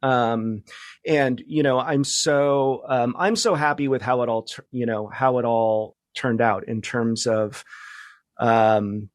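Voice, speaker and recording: A man speaking as an interview guest in casual conversation, reflective and halting, often restarting his thoughts. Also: mid-range voice, fairly even intonation, dry recording, clean studio sound. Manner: halting, reflective, many false starts. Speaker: podcast guest, media founder and journalist.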